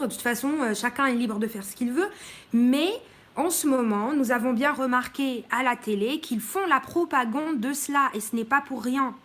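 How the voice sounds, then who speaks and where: A woman's voice: high voice, fairly bright tone. A woman from Morocco speaking bluntly in a live-stream monologue, greeting viewers as she goes and often hesitating mid-sentence. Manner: outspoken and blunt, with many hesitations.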